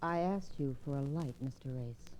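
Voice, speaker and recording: A woman's voice: very deep voice, normal speed, darkish tone. A woman playing a cool, unemotional young widow in a 1950s radio drama, curt and composed. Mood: icy, unmoved. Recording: muffled, noisy vintage radio recording.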